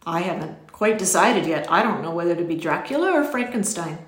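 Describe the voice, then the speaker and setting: A woman's voice: fairly low pitch, expressive, brisk, natural voice. Woman as a polite teenage boy, echoey. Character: polite, hesitant thirteen-year-old boy. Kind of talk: audiobook character voice.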